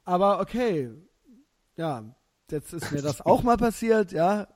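A German man in his thirties hosting a podcast, chatting casually and telling animated, slangy stories with frequent laughter. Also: high-pitched, a little slow. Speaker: podcast host. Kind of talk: casual chat.

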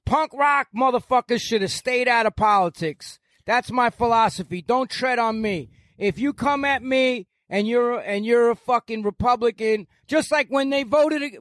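A man in his sixties, a podcast guest, talking loudly and ranting with heavy swearing. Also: very high-pitched, no echo. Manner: loud, profane, ranting. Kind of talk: heated podcast conversation.

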